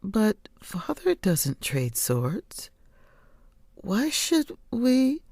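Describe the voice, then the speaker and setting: A woman's voice: medium pitch, huge pitch swings, extremely slow, warm tone, no room sound, clean studio sound, voice pushed up, heavily acted. A woman voicing a rebellious grown daughter who questions and challenges, in an audiobook. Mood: puzzled, questioning. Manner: challenging, inquisitive. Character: rebellious, questioning unmarried daughter, no longer young. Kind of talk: audiobook character voice.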